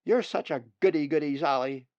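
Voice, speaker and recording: A man voicing squabbling, eager young raven nestlings in a storybook reading, clamoring and shouting childishly. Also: a little high, brisk, no echo, dull audio, voice pushed up, close to an everyday voice.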